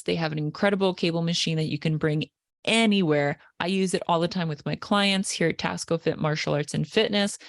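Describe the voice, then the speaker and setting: Chatty low-voiced woman podcast host. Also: no echo, studio quality. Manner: upbeat, chatty, lots of fillers like "like" and "right". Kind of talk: casual podcast interview.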